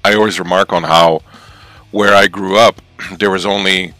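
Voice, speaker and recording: A young man from Canada chats as a guest, his voice very low yet bright and forceful. He reflects in a rambling way, leaning on fillers. Quiet music sits in the background. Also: flat delivery, moderate pace, dry recording, low-quality recording. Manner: thoughtful, rambling, many fillers. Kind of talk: casual chat.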